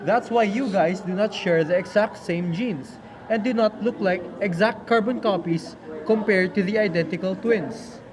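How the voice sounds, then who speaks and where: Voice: mid-range voice, normal speed, hardly any pauses. A presenter explaining things in simple terms, in scripted educational puppet show dialogue for children. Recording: faint background noise.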